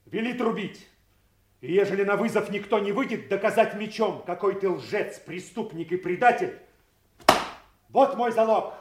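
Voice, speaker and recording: A stern nobleman of classical tragedy, acted by a man, declaiming in a high-pitched voice. He speaks with authority and accusing indignation, and the roomy echo of an audio drama surrounds his words. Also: moderate pace, close to an everyday voice. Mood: stern accusation.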